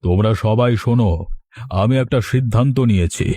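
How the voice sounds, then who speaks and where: A man's voice: medium pitch, brisk, mellow, no echo, studio quality, lightly acted. A man voicing an aging, weary, wise landlord father in a moral cartoon, speaking solemnly. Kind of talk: Bengali moral cartoon voice acting.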